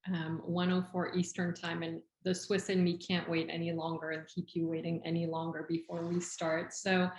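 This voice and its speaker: A friendly, chatty woman presents a webinar over a video call, her voice sitting a little low for a woman. She fills her sentences with ums and uhs. The sound has a roomy echo. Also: completely flat delivery, normal speed, few pauses, a little mellow, a little hiss or hum. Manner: friendly, chatty, frequent fillers. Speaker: skincare company head hosting a webinar. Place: video call from home or office. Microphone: video call microphone.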